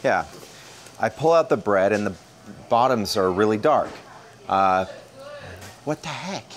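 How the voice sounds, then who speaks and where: A man in his thirties with an American accent, explaining in a relaxed way and giving a casual tour of a small bakery kitchen. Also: fairly low pitch, a little hiss or hum. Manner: explanatory, relaxed, many "uh" fillers. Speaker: bakery owner.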